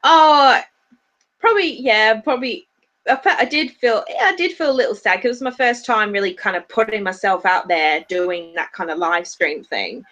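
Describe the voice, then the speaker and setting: A woman's voice: fairly high pitch, expressive, normal speed, a bit bright. Woman with a half british half aussie accent rambling on a livestream, lots of ums and restarts. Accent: mixed British and Australian. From Australia. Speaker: YouTube anime reviewer, livestream co-host. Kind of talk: casual livestream chat.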